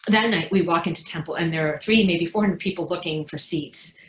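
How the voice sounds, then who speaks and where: A woman playing an anxious, protective mother in a frank, wry, confiding dramatic monologue, pausing for emphasis. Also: on the low side, lightly acted. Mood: earnest, anxious. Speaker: actor in a readers theatre performance. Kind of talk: dramatic stage monologue, conversational storytelling. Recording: echoey room sound.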